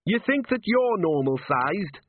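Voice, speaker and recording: A man's voice: high voice, very animated, a little slow, husky, voice pushed up, heavily acted. A fretful, heavyset police sergeant, played by a male narrator moans and panics in a raised, swooping voice. He is sarcastic under strain, like a storybook character, heard through a narrow-band recording. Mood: strained sarcasm. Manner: moaning, fretful. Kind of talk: audiobook character voice. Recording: band-limited, radio-like sound.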